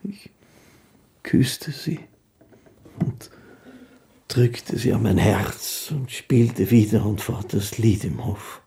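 An actor playing a poor, gentle, devout old fiddler who recalls his life in a halting, reverent monologue for a radio play. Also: lowish voice, creaky.

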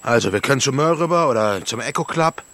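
An actor playing a rough, bullying petty crook in a radio play, curt and domineering. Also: male voice, mid-range voice, animated, quick pace, fairly bright tone. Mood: brisk, probing.

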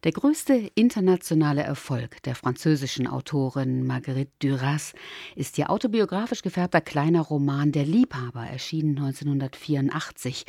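Mellow, husky woman radio presenter. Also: sits low, dry recording, clean studio sound. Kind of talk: scripted radio introduction.

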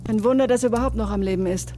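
A woman's voice: fairly high pitch, very fast, natural voice. An actress playing a sober, matter-of-fact woman with medical training in a crime radio drama. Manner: calm, factual. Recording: faint music behind.

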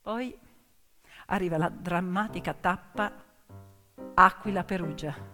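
A woman telling a story theatrically in short emphatic phrases with repetition, moving between hushed and loudly projected delivery. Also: lowish voice, slow pace. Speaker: stage storyteller. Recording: long hall reverb, music behind. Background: music, piano accompaniment.

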